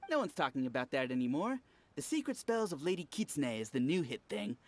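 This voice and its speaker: Animated, dismissive young trend-follower. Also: medium pitch, fairly quick. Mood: dismissive, smug. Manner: casual, dismissive. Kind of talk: video game voice acting.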